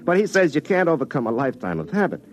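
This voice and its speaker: Casting: eager small-town tinkerer, wry and folksy, very lively intonation, classic radio drama with music behind. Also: male voice, a little high, fast. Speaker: narrator and lead actor. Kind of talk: classic radio drama acting with first-person narration.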